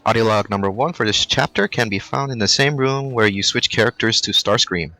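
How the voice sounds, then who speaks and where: Fast-talking man giving game walkthrough directions. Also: on the low side, sharp tone. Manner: brisk, matter-of-fact instructions. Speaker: game guide narrator. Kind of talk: walkthrough voice-over.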